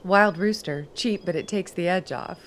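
A woman playing a relaxed, no-nonsense army lieutenant in a radio drama, casual and wry. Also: lowish voice, normal speed, slight background noise, natural voice. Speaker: actor in a radio play.

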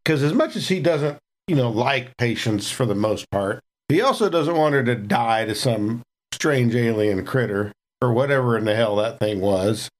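Male player, lively intonation, casual and chatty. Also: medium pitch, normal speed. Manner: chatty, speaks about his character in third person. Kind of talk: casual tabletop game talk.

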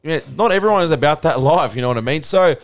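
A man, hosting a podcast in casual chat, telling stories in an animated way with lots of "you know". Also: fairly high pitch, fast, dry recording. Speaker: podcast host.

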